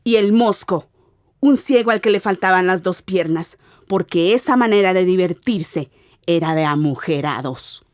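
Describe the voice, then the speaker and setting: A woman giving an expressive, theatrical narration of a literary radio drama as its narrator. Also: lowish voice, normal speed, no echo, clean studio sound. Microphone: close studio mic.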